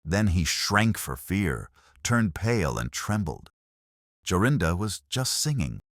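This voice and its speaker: A man narrating a fairy tale as an audiobook, calm and measured, with expressive, theatrical phrasing. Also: deep voice, no room sound, clean studio sound.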